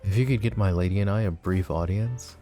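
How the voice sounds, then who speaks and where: Deep, mellow, suave mafia boss acted by a man. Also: fairly quick, faint music behind, natural voice. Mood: polite charm. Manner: smooth, teasing, mock-polite. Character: suave possessive yandere mafia boss, flirty and scheming. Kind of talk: intimate ASMR roleplay acting.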